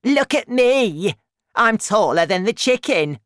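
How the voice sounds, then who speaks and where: A woman voicing a demanding, boastful old grandmother in a children's storybook, shouting bossily. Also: mid-range voice, expressive, slow pace, dry recording, studio quality, voice pushed up. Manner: shouting, bossy.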